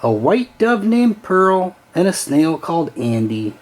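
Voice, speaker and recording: A man reading a children's storybook aloud with an expressive, sing-song storytelling delivery. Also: fairly high pitch, measured pace. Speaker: storybook reader.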